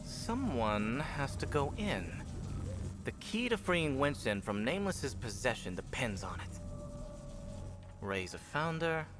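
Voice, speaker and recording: A man's voice: mid-range voice, very animated, slow. An actor playing a boastful, slightly flustered male scientist in a video game, with self-assured patter. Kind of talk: video game cutscene acting. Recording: music under the voice.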